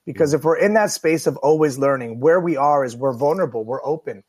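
A man's voice: on the high side, animated, a little fast, darkish tone. A man hosting a radio talk show, chatting casually and earnestly to listeners in a rambling, unscripted way that repeats phrases for emphasis.

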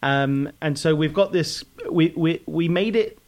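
A man's voice: fairly high pitch, unhurried. A man from London with an English accent, a film director talking earnestly in a casual interview, often restarting his sentences. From London, England. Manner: earnest, hesitant, with frequent restarts.

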